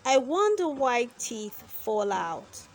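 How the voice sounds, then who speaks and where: A woman's voice: a little high, sing-song, takes it slowly, a little hiss or hum, full character voice. A woman voicing a small, very curious storybook girl who is eager and full of wondering questions. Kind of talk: children's storybook character.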